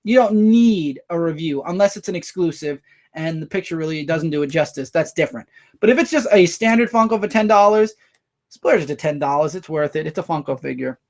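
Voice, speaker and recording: A man hosting a casual livestream, rambling through viewer comments with quick asides. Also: a little high, expressive. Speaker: livestream host.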